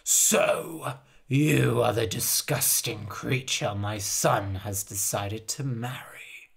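A man voicing a huge, fierce male fairy king who speaks slowly with a sneering, menacing manner. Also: medium pitch, voice pushed down. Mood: scornful. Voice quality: gravelly, sneering. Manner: slow, menacing, growls and shouts.

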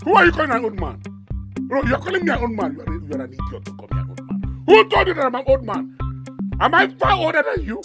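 A man's voice: very high-pitched, wildly swooping pitch, fast, over music, heavily acted. An actor playing a vain, indignant widowed father with a Nigerian accent, scolding and repeating himself in a domestic comedy-drama. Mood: defensive, angry. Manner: blustering, repetitive, scolding. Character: indignant, vain, shameless widowed father chasing a young woman.

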